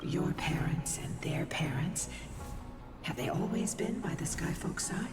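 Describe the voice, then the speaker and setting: An actress playing an aloof, ancient wandering woman in a video game, with a breathy, whispery voice. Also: fairly low pitch, animated, measured pace. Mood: aloof, calm. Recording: distant, echoing, loud game music over it.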